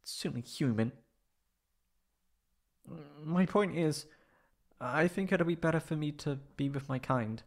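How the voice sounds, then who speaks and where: A man's voice: on the high side, unhurried, pauses often. A man voicing a proud but insecure, emotional tsundere catboy in an ASMR roleplay, with hesitant, stammering speech. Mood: aloof.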